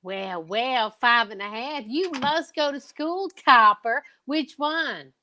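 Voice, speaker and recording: A woman's voice: sits high, animated, slow pace, bright, forceful tone, slight background noise, full character voice. A woman voicing a jolly male store Jack Frost who greets children in a hearty, indulgent way, in old-time radio style. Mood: jolly, kindly.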